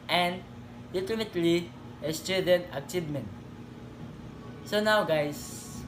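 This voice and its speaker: A man's voice: on the high side, noisy background. Young man presenting to classmates. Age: young adult. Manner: addresses classmates casually. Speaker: student presenter.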